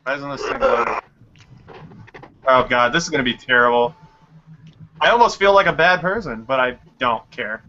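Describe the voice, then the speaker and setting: A young man hosting a casual group voice chat, talking quickly and a little scattered while he works on his computer. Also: medium pitch. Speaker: stream host. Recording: lo-fi mic with faint noise.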